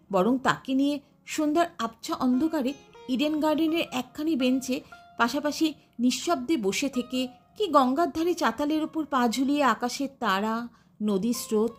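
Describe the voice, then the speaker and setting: Female audiobook narrator. High voice, animated melodic delivery for literary fiction, music underneath. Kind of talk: expressive literary story narration.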